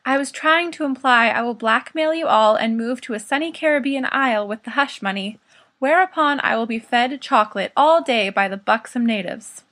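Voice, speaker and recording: A woman's voice: a little high, moderate pace, fairly bright tone, lightly acted. A woman voicing a bookish, stodgy teenage boy with a mild, dry, protesting manner in an audiobook. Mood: dry, muttering. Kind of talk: fan fiction audiobook character.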